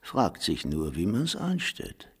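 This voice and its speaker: An actor playing a shady, resentful village man in a radio play, husky, furtive and urgent, in a hollow reverb. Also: low voice, huge pitch swings, slow, heavily acted. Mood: furtive agitation.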